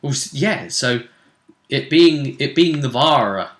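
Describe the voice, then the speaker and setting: A man hosting a casual chat about a tabletop role-play game, telling it with emphatic, drawn-out phrasing. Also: medium pitch, expressive, fairly bright tone. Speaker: host. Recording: roomy, echoey room sound.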